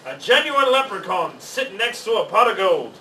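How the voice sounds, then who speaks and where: A man's voice: high-pitched, big pitch swings, moderate pace, bright, forceful tone, heavily acted. A man voicing a stubborn, greedy pirate captain who exclaims in pirate talk, from a children's storybook. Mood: excited. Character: stubborn, greedy, grumbling male pirate captain hungry for gold. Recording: roomy, distant mic, faint noise.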